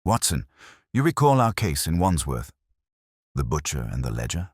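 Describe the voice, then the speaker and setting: A man voicing an incisive, commanding English detective in an audiobook, with measured, clipped delivery. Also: extremely low pitch, big pitch swings, breathy edge, no echo, clean studio sound, full character voice. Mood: brisk, probing.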